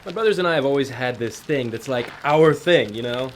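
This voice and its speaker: Male actor as glum suburban horseman, animated intonation, hesitant deadpan grumbling, comedy audio drama, noisy ambience. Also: medium pitch, fairly quick, lightly acted. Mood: sullen, defensive. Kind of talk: mockumentary audio comedy acting. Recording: clear background ambient noise.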